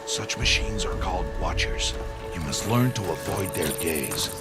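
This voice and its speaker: A low-voiced, stern protective guardian. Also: male voice, expressive. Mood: grave, cautious. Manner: measured, instructive, commanding. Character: stern, protective outcast father figure teaching a young girl to hunt. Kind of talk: dramatic video game acting. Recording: loud music behind the voice.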